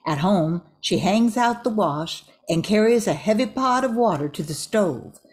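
A woman's voice: mid-range voice, lively intonation, measured pace, darkish tone. An older woman reading scripted narration for an amateur radio play.

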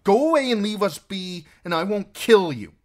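Man voicing a high, defiant little runaway girl. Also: expressive, normal speed. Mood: fierce, defiant. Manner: blunt, bristling, commanding.

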